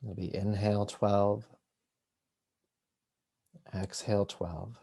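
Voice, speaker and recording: A man with a low-set, relaxed voice guides a meditation as a yoga teacher. He speaks very slowly and soothingly, in short phrases with long pauses between them. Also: creaky.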